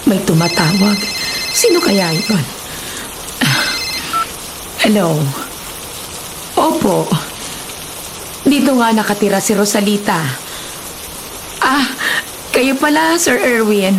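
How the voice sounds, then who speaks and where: A woman's voice: mid-range voice, animated, takes it slowly, long pauses, noisy background. A woman playing a caring, worried nanny who speaks her thoughts aloud in a radio drama. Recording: loud music and rain effects.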